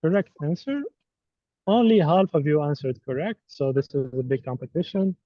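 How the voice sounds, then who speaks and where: A man's voice: fairly high pitch, lively intonation, normal speed, no echo. A native Arabic-speaking man teaching an online course, running a live quiz in a playful, teasing way. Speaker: course instructor. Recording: dull, dry online call audio.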